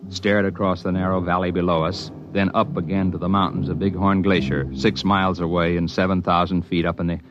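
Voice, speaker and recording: A man narrating a 1950s radio drama in a steady, measured way. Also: deep voice, background noise. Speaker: narrator and lead actor. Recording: dull lo-fi old radio recording with music.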